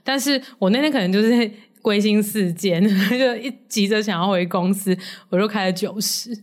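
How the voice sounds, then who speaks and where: Taiwanese woman, mid-pitched voice, casual podcast banter, blunt and jokey, quick to laugh, close mic. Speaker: podcast co-host. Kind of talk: casual chat and storytelling. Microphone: close stick microphone.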